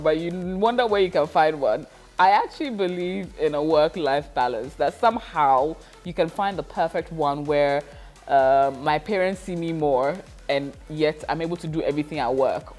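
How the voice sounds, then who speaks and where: Soft-pitched woman vlogging, laughing often. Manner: reflective, laughs often, many fillers. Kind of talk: casual confessional vlog.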